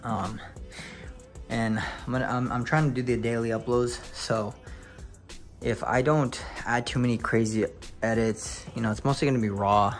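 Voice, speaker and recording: A young man filming a casual home tour on a handheld phone, talking to viewers with excited exclamations and lots of fillers. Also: medium pitch, normal speed, faint music behind. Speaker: real estate video host. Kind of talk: casual walkthrough narration. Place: inside a large empty house.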